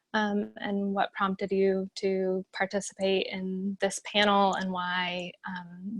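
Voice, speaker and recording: A woman moderates a panel in a very even, mid-pitched voice. She words things carefully and gently, and the sound around her is dry, with no room in it. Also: no room sound. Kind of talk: moderating an online panel discussion.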